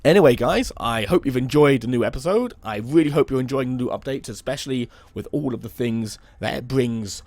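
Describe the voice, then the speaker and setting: Young male let's play host, a bit hoarse from a cold, laid-back rambling delivery, mid pitch, dry sound. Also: a little fast, hardly any pauses, no room sound. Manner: relaxed, rambling, many "um" and "kind of". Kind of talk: casual gameplay commentary.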